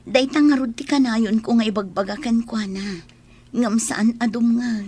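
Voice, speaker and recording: A woman's voice: on the high side, moderate pace, darkish tone, husky. An actress playing a worried, long-suffering wife and mother in an Ilocano radio drama, earnest and emotional, with sighs. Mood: subdued.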